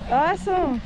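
A woman ski instructor calmly coaching a beginner outdoors on a ski slope, giving short encouraging instructions. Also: very high-pitched, heavy background noise. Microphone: action camera worn by the learner.

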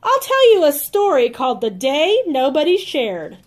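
High, sing-song cheerful cartoon bear read by a woman. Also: huge pitch swings, moderate pace. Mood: cheerful, encouraging.

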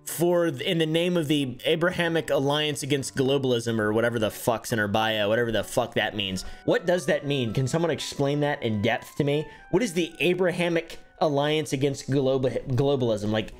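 A man's voice: medium pitch, normal speed, hardly any pauses, faint music behind. A young man from the American South streaming from his home setup, giving rambling, sarcastic commentary on social media posts and swearing often. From Southern United States. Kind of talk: casual reaction commentary. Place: home streaming room with colored lights. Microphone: studio mic on arm, headphones.

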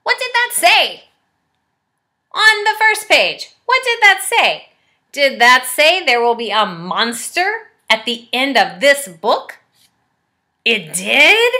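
A woman's voice: sits high, big pitch swings, slow, bright, forceful tone, full character voice. A woman voicing a timid, lovable furry male storybook monster in a pleading, melodramatic manner. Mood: alarmed. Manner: pleading, melodramatic, exaggerated emphasis.

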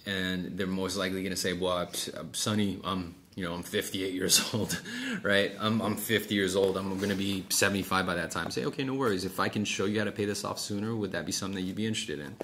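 A man's voice: low voice, steady tone, quick pace, few pauses, fairly bright tone. A middle-aged man coaching one-on-one in a casual, explanatory way, acting out sample sales dialogue and checking in often. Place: small office meeting room, indoors. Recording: clearly noisy room sound.

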